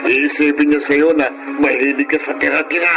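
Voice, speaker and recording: A man's voice: on the high side, steady tone, normal speed, creaky, over loud music. A male actor playing a cocky, chauvinistic young drinking buddy in a radio drama, with teasing, opinionated banter. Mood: smug, opinionated. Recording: noisy radio drama audio.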